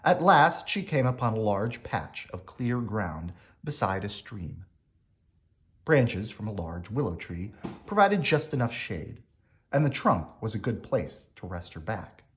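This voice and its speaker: Low-voiced man, very animated storybook reader. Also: unhurried. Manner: animated, emphatic phrasing. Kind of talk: expressive children's bedtime story read-aloud.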